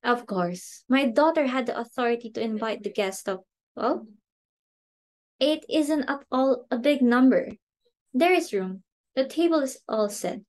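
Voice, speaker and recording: Woman acting a high-pitched, melodramatic society hostess. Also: expressive, measured pace, a little mellow. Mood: insistent, coaxing. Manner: melodramatic, exclamatory, imperious. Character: flustered, theatrical society hostess and mother, dramatic and snobbish. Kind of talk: amateur dramatic reading of a stage comedy.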